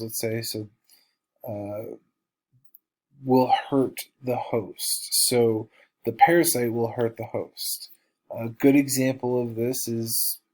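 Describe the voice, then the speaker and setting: A man with a stuffy nose from allergies, slowly lecturing biology students over slides with many ums and uhs. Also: medium pitch, dark tone. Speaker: college biology instructor.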